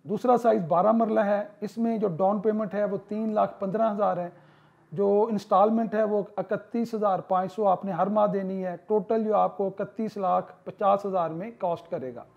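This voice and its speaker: Male consultant, high and soft-toned, persuasive voice-over full of "uh" fillers, muffled lo-fi sound. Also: fairly even intonation, gravelly. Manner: persuasive sales pitch, many "uh" pauses. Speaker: real estate consultant. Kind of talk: promotional voice-over.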